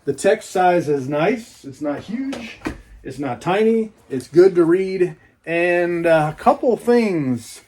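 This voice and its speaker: Higher-voiced man, folksy and casual. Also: lively intonation, takes it slowly, darkish tone, slight background noise. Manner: folksy, rambling, informal. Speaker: book collector reviewing an unboxing. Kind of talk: casual unboxing chat.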